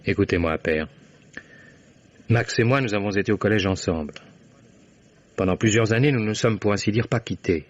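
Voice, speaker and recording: A man's voice: fairly low pitch, normal speed, long pauses, close to an everyday voice. Earnest young man played by an actor, dull vintage recording. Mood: earnest, admiring. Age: young adult. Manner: insistent, emphatic, theatrical. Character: loyal, earnest young American lawyer defending his best friend. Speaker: radio drama actor. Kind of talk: 1950s French radio drama acting.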